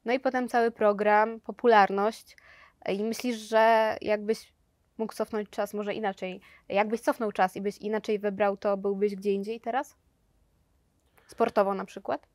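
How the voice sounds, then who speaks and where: A woman's voice: mid-range voice, little pitch movement, a little slow, a little mellow, no echo. A young woman hosting a sports interview, asking brief prompting questions from a studio sofa. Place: studio set with sofas, indoors.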